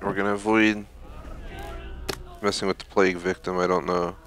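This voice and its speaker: A man's voice: low-pitched, flat delivery, normal speed, over music. A man giving casual, off-the-cuff commentary while playing through a video game. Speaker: gaming video host.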